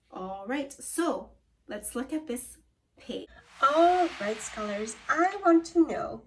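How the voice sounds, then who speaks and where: A woman's voice: on the high side, low-quality recording. A woman teacher reading aloud to young children, encouraging them and prompting with questions and cues. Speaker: elementary school teacher.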